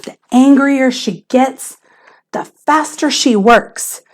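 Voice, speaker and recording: A woman teacher reading a children's picture book aloud in a theatrical, expressive way with emphasis on key words. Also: high voice, takes it slowly, a little hiss or hum.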